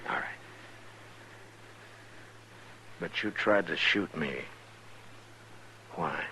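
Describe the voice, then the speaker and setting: An American actor playing a weary, conscience-stricken marshal in an old radio western, terse and sober. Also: male voice, sits low, takes it slowly, sharp tone, full character voice, low-quality recording. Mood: grave, concerned. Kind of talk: old-time radio drama acting. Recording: hissy old radio recording.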